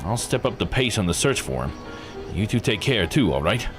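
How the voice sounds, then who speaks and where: A gruff police detective from a visual novel, acted out by a man, speaks low and casually. He grumbles like someone tired of strange cases, over clearly audible music. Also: big pitch swings, brisk. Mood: businesslike. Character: gruff, world-weary male police detective. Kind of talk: voicing visual novel dialogue.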